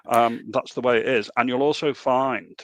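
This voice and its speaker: A man with a Liverpool accent hosting a casual political chat, animated and full of rhetorical questions. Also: medium pitch, moderate pace, no echo, rough recording. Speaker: podcast host.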